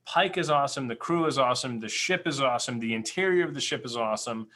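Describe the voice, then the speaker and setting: A man's voice: medium pitch, lively intonation, fast, pushed and bright. A man co-hosting a casual fan podcast, emphatic and opinionated, repeating words for stress. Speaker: podcast co-host.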